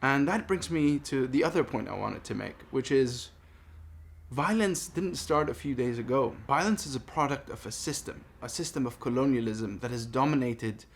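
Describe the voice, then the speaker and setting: A man in his thirties from Palestine speaking in a personal monologue, earnest, deliberate and emphatic. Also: mid-range voice, lively intonation, creaky, a little hiss or hum. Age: 30s. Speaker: vlogger making a response video. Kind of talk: personal monologue addressed to camera.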